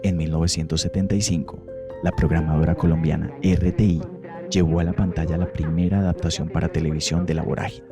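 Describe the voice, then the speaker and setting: A man reading scripted documentary narration in a steady, formal way. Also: a bass voice. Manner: steady, formal, even delivery.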